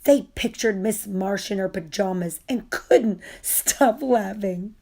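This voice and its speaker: A woman reading a children's story aloud in a deliberate, expressive way. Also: medium pitch. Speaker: storybook reader.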